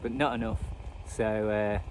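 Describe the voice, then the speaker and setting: A man's voice: on the low side, steady tone, slow, a little mellow. A man giving casual, unscripted commentary as a hobbyist host, rambling and self-deprecating in manner. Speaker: radio hobbyist and video host. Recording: noisy outdoor recording, radio static and traffic.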